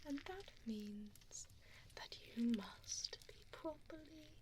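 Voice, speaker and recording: A woman playing an evil, condescending female vampire in an ASMR roleplay, whispering breathily and close to a binaural microphone with soft, teasing menace. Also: high-pitched, lively intonation, slow, background noise. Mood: doting, focused. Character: evil mean female vampire preparing a human as meal. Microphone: close binaural 3Dio microphone.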